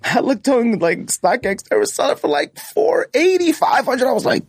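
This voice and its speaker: A man's voice: very high voice, sing-song, normal speed, vocal fry, slightly rough, no echo, clean studio sound. A man hosting a casual sneaker podcast, talking in a slangy, emphatic way and acting out quoted reactions. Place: podcast studio, indoors.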